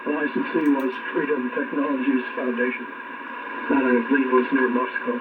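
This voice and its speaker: A man's voice: mid-range voice, steady tone, normal speed. A man reading a scripted news digest as a radio host in a matter-of-fact manner, heard over shortwave radio. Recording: noisy, narrow-band shortwave radio reception.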